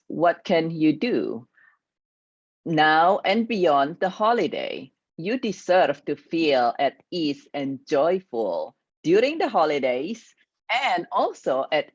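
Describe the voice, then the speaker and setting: A woman's voice: deep voice, sing-song, slow pace, no echo, muffled recording. A woman with an Indonesian accent, a grief coach giving an earnest, motivational online workshop.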